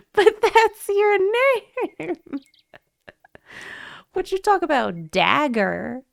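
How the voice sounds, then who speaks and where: A woman's voice: very high voice, animated, extremely slow, vocal fry, dry recording, studio quality. A woman livestreaming a game playthrough, chatting casually and informally in a giggly manner. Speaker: game streamer.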